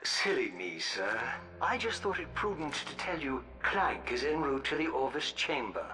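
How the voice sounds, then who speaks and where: An actor playing a deadpan, sarcastically obsequious robot butler to a villain in a video game. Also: male voice, mid-range voice, normal speed. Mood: dryly sarcastic. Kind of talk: video game cutscene acting.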